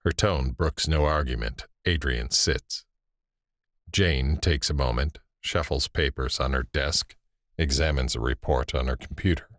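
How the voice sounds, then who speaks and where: A man narrating scene directions in a scripted audio drama, grave and measured. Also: rumbling low, a bit bright, dry recording, studio quality.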